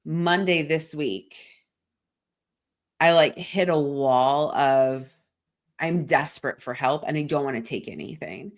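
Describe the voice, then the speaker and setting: Low-voiced, swearing woman chatting casually. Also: slow pace. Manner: rambling and frank, swears often, heavy "like" filler. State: congested from a sinus infection. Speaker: podcast co-host. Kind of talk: casual chat.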